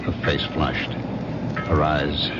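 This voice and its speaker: A man's voice: very deep voice, level tone, takes it slowly, heavy background noise. An actor playing a brooding, jealous husband who tells his story intensely in a radio mystery drama. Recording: dull old radio recording, loud music behind.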